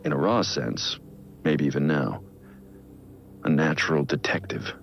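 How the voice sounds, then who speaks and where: A brooding middle-aged vigilante mentor narrates a video game audio log in an extremely deep, gravelly voice. He is grave and introspective, weighed down by guilt. The sound is slightly dull and lo-fi. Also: measured pace, a little hiss or hum, heavily acted. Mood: measured, reflective. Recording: muffled lo-fi audio log, music underneath. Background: music.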